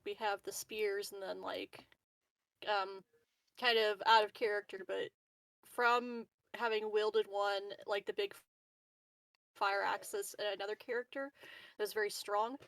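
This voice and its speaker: A woman's voice: medium pitch, level tone, measured pace, fairly bright tone, no echo. Casual woman player, close dry sound. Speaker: player in a tabletop roleplaying game. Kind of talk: casual game chat, profane.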